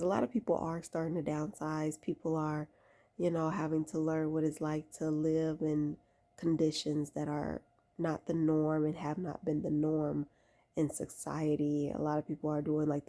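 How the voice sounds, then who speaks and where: A woman in her late twenties speaks casually, like a vlogger giving heartfelt life advice. Her voice is dark and mellow with a gravelly fry, and she takes her time. She leans on key phrases, repeating them for emphasis. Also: deep voice. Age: late 20s. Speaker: vlogger giving faith-based lifestyle advice. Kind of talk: casual talk to camera, exhorting.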